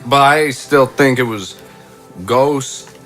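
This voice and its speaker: A man's voice: medium pitch, slow, fairly bright tone, soft background music. A man playing a wry, teasing toy in an improvised comedy audio drama, with a dry manner.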